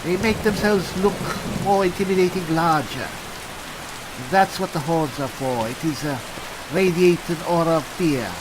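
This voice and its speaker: A man playing a chatty, pompous adventuring cleric in an improvised fantasy game roleplay, halting and musing theatrically with dry humour. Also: fairly high pitch, background noise, natural voice. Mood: musing, amused. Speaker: gamer playing a character.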